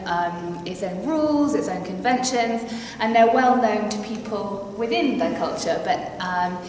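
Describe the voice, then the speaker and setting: A woman presenting a workshop to parents, talking hesitantly with many filler sounds. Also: mid-range voice. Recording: echoey hall, distant mic, faint noise.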